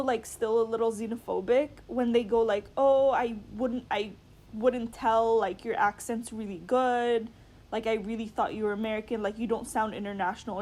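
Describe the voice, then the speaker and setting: A young international student, a woman, talks in a loose, casual way and keeps saying "like". Her voice is a little high. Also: a little mellow. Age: young adult. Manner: casual, frequent "like", opinionated.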